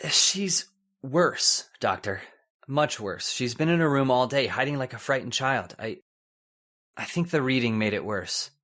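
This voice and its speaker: A man's voice: medium pitch, lively intonation, unhurried, a bit bright. A man plays a worried husband in a vintage-style radio chiller. He is earnest and sceptical, pressing his point insistently and tripping over words when rattled. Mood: shaken. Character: Paul Seaton, anxious, sceptical husband. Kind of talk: old-time radio horror acting.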